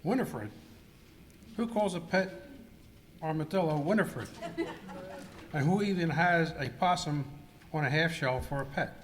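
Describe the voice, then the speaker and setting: A man's voice: fairly high pitch, lively intonation, unhurried, pauses often. A man playing a dry, grumbling cowboy outlaw in an amateur live radio skit, recorded in an echoey, noisy hall. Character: grumbling wisecracking male cowboy outlaw Bob.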